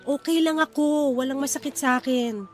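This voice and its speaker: A woman's voice: high-pitched, fairly even intonation, moderate pace, natural voice. An actress playing a steadfast, comforting Filipino wife and mother in a Tagalog radio drama. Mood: calm, reassuring. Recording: music under the voice.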